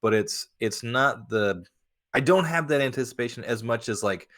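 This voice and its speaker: A man co-hosting a podcast, chatting casually and giving opinions in a halting, blunt way with some swearing. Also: medium pitch, animated, fairly bright tone. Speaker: podcast co-host.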